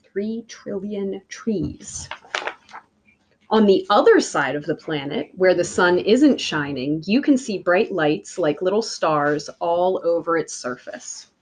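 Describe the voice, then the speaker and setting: Woman reading slowly, with animated pitch. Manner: deliberate, emphatic phrasing addressed to young listeners. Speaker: teacher reading a picture book aloud. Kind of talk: children's read-aloud.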